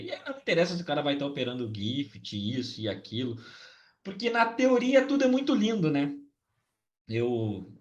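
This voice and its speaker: A slightly hoarse man with a Brazilian Portuguese accent, hosting a casual trading recap and talking chattily with many tag questions. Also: a little high. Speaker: trading channel host.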